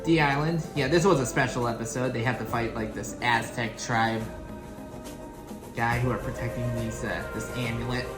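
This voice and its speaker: A young adult woman giving casual, unscripted reaction commentary in a halting, rambling way. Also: very deep voice, fairly even intonation. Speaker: reaction video host. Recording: roomy echo, clear music behind. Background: music from the intros being watched.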